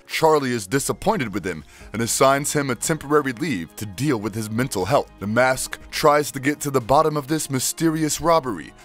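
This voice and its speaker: A man reading scripted narration that recaps cartoon episodes. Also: medium pitch.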